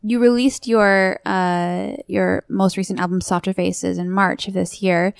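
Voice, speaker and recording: A woman's voice: mid-range voice, flat delivery, unhurried, vocal fry, smooth, dry recording, studio quality. A woman interviewer asking questions, often repeating words as she gets them out.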